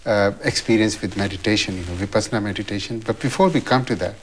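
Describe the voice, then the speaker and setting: A man interviewing a guest, courteous, pausing often with fillers between his questions. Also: lowish voice, slight background noise.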